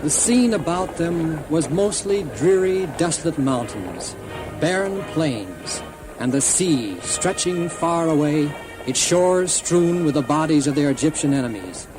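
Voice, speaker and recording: A man's voice: a little high, lively intonation, measured pace, music in the background, low-quality recording. A man narrating a scripted children's Bible story in a solemn, dramatic storytelling manner.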